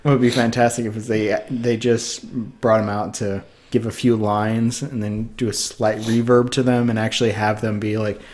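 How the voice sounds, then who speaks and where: A man's voice: fairly low pitch, flat delivery, normal speed, few pauses, a little mellow. Hesitant man co-hosting a podcast. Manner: hesitant, laughs readily. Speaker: podcast co-host. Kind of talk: casual podcast chat.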